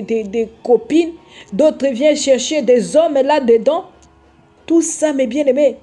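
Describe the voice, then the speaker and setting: A woman's voice: on the high side, mellow. A woman from Congo giving a scolding video sermon, repeating herself often and hesitating with filler sounds. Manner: admonishing, repetitive, many hesitations and filler sounds. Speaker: online preacher.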